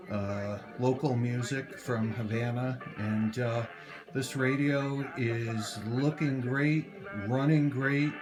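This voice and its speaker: A middle-aged man from North America giving a casual, halting, unscripted hands-on show-and-tell as a hobbyist, recorded on a handheld camera mic. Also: mid-range voice. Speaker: radio hobbyist presenting a find. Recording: faint background noise, handheld camera clips.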